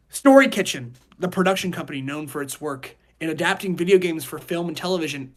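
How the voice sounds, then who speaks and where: A man co-hosts a casual group podcast in a mid-to-high speaking voice. He talks in a slangy, animated way, swinging between louder and quieter delivery. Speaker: podcast co-host.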